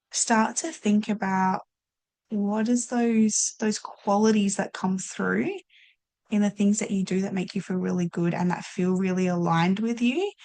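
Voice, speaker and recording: A woman's voice: mid-range voice, gravelly, a little mellow. A woman coach hosting a podcast, speaking encouragingly and talking straight to viewers. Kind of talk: warm reflective casual chat.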